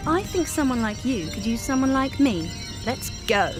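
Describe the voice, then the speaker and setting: A woman's voice: a little high, very animated, normal speed, over music. An actress voicing a fussy, condescending noblewoman in a video game. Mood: haughty. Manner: genteel, chirpy, condescending. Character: prim, fussy noblewoman, house-proud and bossy. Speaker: game character.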